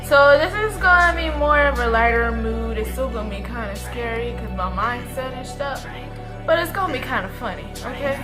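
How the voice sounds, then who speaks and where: A woman's voice: on the high side, moderate pace, over music. A woman in her twenties from the Dallas suburbs with a suburban Texas accent, chatting in a rambling, self-correcting way as she tells a story to camera. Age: 20s. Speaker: vlogger.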